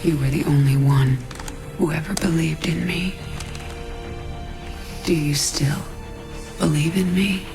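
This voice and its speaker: A woman playing a powerful, commanding alien-swarm queen in a video game, hushed and intense. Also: sits low, fairly even intonation, slow pace, creaky, long pauses, music in the background, full character voice. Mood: anguished, tender. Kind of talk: video game cinematic acting.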